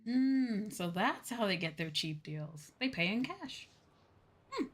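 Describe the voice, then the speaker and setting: A woman's voice: mid-range voice, very animated, slow. A woman chatting casually on a game livestream and reading game dialogue aloud. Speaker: streamer playing a video game.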